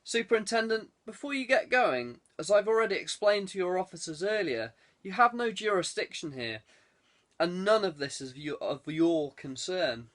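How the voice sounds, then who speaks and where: Audiobook villain played by a male reader: haughty aristocrat, curt orders, higher-set male voice. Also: very animated, moderate pace, a bit bright. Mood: haughty, cold. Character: imperious, short-tempered aristocratic male villain, a lord. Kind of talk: audiobook character dialogue.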